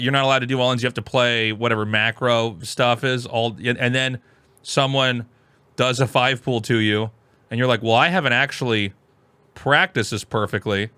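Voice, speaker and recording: An American man hosting, reacting to a video in casual commentary, animated and full of restarts. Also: medium pitch, clean tone, no echo. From United States. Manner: animated, restarts often, exclaims loudly.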